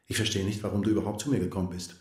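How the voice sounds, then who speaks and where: A man's voice: low voice, steady tone, racing, a little mellow, roomy sound, close to an everyday voice. A man playing a composed, aloof husband in a German radio drama, curt and cool, holding back his hurt. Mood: guarded.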